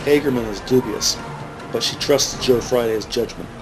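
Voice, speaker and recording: A man narrating a scripted crime drama in a measured, matter-of-fact way. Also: mid-range voice, low-quality recording. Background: music.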